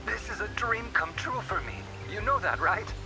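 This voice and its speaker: An excitable mission operator in a video game. The pitch is high for a male and swings widely, with an upbeat, urging delivery. Clear music sits behind the voice. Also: normal speed, low-quality recording. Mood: friendly, eager.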